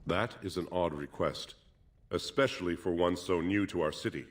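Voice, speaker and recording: An actor playing a solemn, formal older male keeper of ancient records in a video game, speaking in a measured way. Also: low-pitched, lightly acted. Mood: stern, sceptical. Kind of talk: video game dialogue acting.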